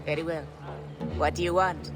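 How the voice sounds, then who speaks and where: An actor playing a calm, wary informant in a video game, speaking in a measured way. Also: mid-range voice, lightly acted. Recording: game audio with music beneath.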